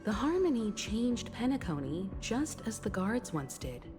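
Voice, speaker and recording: A woman playing a composed, perceptive young travelling companion with measured delivery in a video game. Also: a little high, sing-song, over music.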